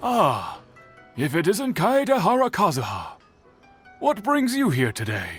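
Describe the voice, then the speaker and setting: A man's voice: high voice, wildly swooping pitch, unhurried, a bit bright, full character voice. A man playing a dignified, stoic older swordsmith in a video game, speaking formally and solemnly. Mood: welcoming. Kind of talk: video game cutscene acting. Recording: music behind the voice.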